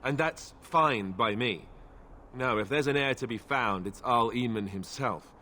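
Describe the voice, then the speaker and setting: A man's voice: medium pitch, unhurried, pushed and bright, a little hiss or hum. An actor voicing a self-deprecating young male warrior in a fantasy video game, talking in a wry, hesitant way. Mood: earnest, sheepish.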